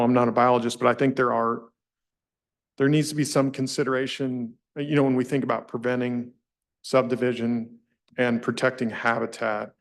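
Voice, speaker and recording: Man, gravelly low rasp, thinking aloud, hedging remarks at a public meeting. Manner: thoughtful, self-questioning, hedging. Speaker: commission meeting participant.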